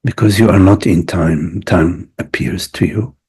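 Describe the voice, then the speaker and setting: A man leading a guided meditation as a teacher, speaking reflectively in short phrases with long pauses between them. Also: sits low, darkish tone, slightly rough.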